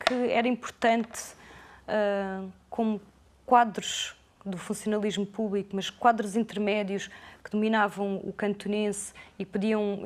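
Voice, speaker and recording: A woman's voice: medium pitch, darkish tone. A woman, guest on a television interview. She answers at length in a careful, hesitant manner, often restarting and repeating words as she builds her thoughts.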